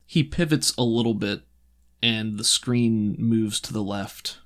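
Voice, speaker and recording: A man narrating a tabletop game as game master, in a measured, descriptive storytelling manner. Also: lowish voice.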